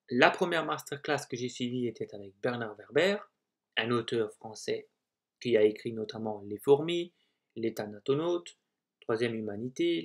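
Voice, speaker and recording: A man, an author, giving a candid, conversational slide presentation about writing, with some fillers. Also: mid-range voice.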